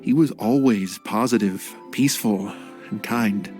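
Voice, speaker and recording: An actor playing a gentle male scholar and game creator in a game cutscene, soft-spoken and reflective. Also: lowish voice, measured pace, soft background music, lightly acted.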